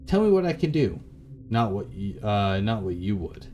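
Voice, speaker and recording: A man's voice: fairly low pitch, sing-song, normal speed, a little mellow, a little hiss or hum. A man voicing a worried grown daughter, anxious and hesitant, caring for her failing mother in a visual novel. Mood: anxious, tired.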